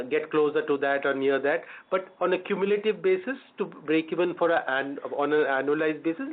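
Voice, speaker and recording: A man speaking as a company executive answering questions on an earnings call, halting and often repeating words as he builds his answers. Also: on the high side. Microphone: phone or conference line. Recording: phone-line conference call audio.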